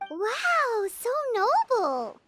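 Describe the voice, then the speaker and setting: A woman voicing a tiny, cheerful, blunt fairy companion girl with a squeaky childlike voice in a video game. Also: huge pitch swings, very slow, heavily acted. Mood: amazed. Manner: refers to self in third person, exclamatory. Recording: loud background music.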